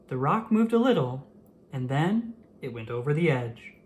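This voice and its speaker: A storyteller reading a children's picture book aloud in an expressive, animated way. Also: medium pitch, moderate pace, a little hiss or hum. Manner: animated, acts out the dialogue.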